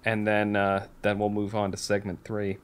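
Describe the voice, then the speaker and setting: A man's voice: lowish voice, completely flat delivery, normal speed, clear, resonant tone. A man hosting a podcast in casual chat, talking in a rambling, candid way and often interrupting his own sentences. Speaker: podcast host.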